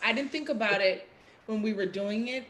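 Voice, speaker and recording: A woman's voice: mid-range voice, moderate pace, fairly bright tone, a little hiss or hum, rough recording. A woman in casual panel chat, acting out her own thoughts as she recounts them. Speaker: actor on a panel.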